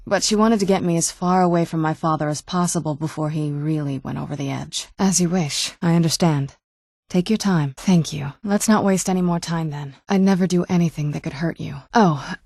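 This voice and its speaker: A centuries-old yet youthful vampire woman for a fantasy video game. Her voice sits fairly low and is recorded close and dry. She talks in a measured, conversational way, guarded and wistful, with wry understatement. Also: a little mellow, no room sound. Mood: calm, sincere. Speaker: video game companion character. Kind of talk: video game dialogue acting.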